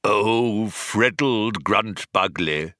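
A man voicing a pompous, merciless alien male poet, with a whirring, strident voice that climbs high as he declaims. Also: drawn-out words, pushed and bright, no room sound, studio quality, full character voice. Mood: impassioned, declaiming.

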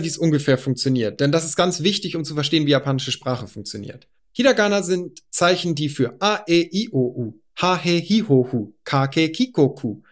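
A man in his thirties from northern Germany, calmly and methodically explaining language families in a personal monologue. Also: a little high. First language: Low German. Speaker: podcast host.

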